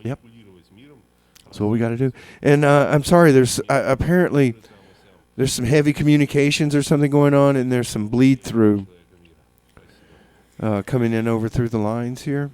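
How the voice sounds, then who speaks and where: A man hosting a community radio music show, chatting casually in a halting, rambling way with deadpan comedy. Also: medium pitch, clear, resonant tone, no room sound. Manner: hesitant, rambling, halting with repeated words. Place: small community radio studio.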